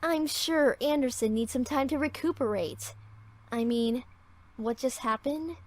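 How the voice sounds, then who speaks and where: Woman as a high-voiced snide mean girl. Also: unhurried, darkish tone. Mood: falsely sweet. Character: snide, falsely sweet mean-girl teenager. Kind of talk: visual novel voice acting.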